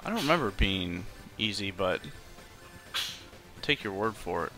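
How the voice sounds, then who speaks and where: A man's voice: sits low, lively intonation, normal speed, over music. A man chatting casually and in a rambling way as he streams a video game. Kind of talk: casual livestream chat over gameplay.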